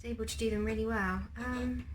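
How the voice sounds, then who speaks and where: A young woman with a fairly high voice talks to her camera while she cooks and eats. She has a bit of a cold that makes her sound faintly wheezy, and she rambles along with lots of ums and restarts. Faint noise sits behind her. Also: steady tone, brisk. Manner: chatty and rambling, many ums and trailing restarts. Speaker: vlogger. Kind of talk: casual cooking vlog and eating on camera.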